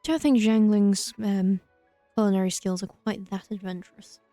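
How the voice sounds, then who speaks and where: A woman gives casual gameplay commentary in a mellow, dark-toned voice at medium pitch. She is dry and wry, closely recorded with very little room sound and faint game music behind. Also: steady tone, moderate pace, no room sound. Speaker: let's play streamer.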